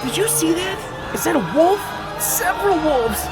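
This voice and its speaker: A man's voice: very high-pitched, expressive, moderate pace, over loud music. An actor playing a terrified, babbling, whiny man in an audio drama. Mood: frightened awe. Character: panicky, terrified adult farm visitor, a man.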